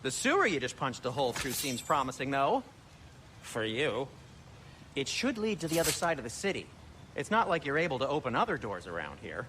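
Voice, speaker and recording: An actor playing a fussy, squeamish dwarf smith who talks in a prim, fretful way, in a video game cutscene. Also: male voice, mid-range voice, sing-song, brisk, lightly acted. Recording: game audio with clear ambient noise.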